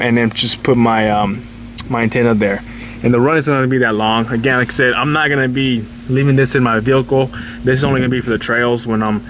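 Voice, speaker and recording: A man hosting a DIY video in casual, off-the-cuff speech, haltingly repeating and restarting phrases as he explains. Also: mid-range voice, a bit bright. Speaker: DIY vlog host. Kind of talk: casual off-the-cuff how-to talk. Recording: roomy, distant mic, clear hum noise.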